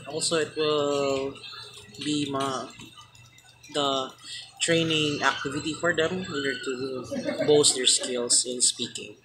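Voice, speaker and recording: A young man from the Philippines with a Filipino accent, a student giving an informal class presentation, halting and restarting his phrases, recorded on a phone at arm's length in a small room. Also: mid-range voice, rough recording. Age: young adult. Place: small indoor room, ceiling lights. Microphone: phone camera at arm's length.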